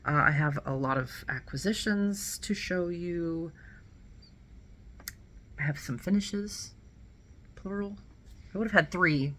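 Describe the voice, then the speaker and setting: Low creaky woman, nasal with a cold. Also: fairly bright tone, a little hiss or hum. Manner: rambling and self-correcting, with light laughs. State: nasal, getting over a cold. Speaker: cross stitch vlogger. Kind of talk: casual chat to camera. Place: small indoor room at home.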